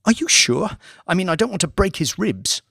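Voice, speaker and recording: A man's voice: high-pitched, big pitch swings, normal speed, creaky, pushed and bright, no echo, studio quality, voice pushed up, heavily acted. A man voicing a bewildered, anxious fiancé in an audiobook thriller, with a flustered, questioning manner.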